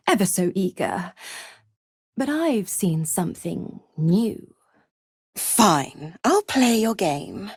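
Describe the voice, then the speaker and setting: A woman's voice: on the low side, breathy edge, no room sound, clean studio sound, heavily acted. Seductive, swooping-voiced she-devil. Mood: sly, condescending. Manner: teasing, condescending, theatrical drawl. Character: seductive, taunting female devil, cruel smug pact-broker. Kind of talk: video game character voice acting.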